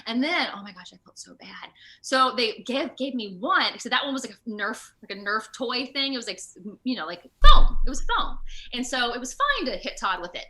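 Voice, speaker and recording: A woman giving a casual interview as a guest actor, expressive and giggly, acting out her anecdotes dramatically. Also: a little high, brisk, few pauses, rough recording. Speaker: interview guest, video game voice and motion capture actor. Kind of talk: casual animated interview chat.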